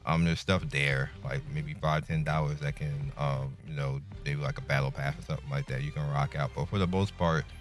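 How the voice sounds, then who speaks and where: Deep-voiced man doing casual game commentary. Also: fairly even intonation, brisk, hardly any pauses. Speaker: gaming video host. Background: music.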